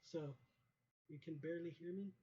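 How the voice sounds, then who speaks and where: A young man with a hoarse voice chatting casually on a livestream, rambling with many ums while telling a wrestling story over a headset mic. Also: fairly high pitch, normal speed, warm tone. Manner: rambling, many ums and uhs, greets chat viewers. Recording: dull lo-fi stream audio with faint hiss.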